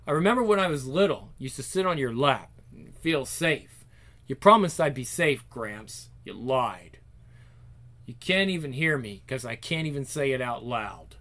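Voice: medium pitch, animated, normal speed, fairly bright tone, natural voice. A performer voicing a troubled, sarcastic, self-conscious young teenage girl in a dramatic inner monologue for a story. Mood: bitter, hurt.

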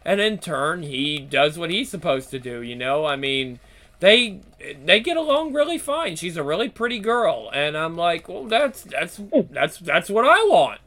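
High-voiced profane man rambling. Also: big pitch swings, unhurried, bright, forceful tone. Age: 30s. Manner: blunt, profane, rambling with many restarts. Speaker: YouTube channel host. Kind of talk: casual chat.

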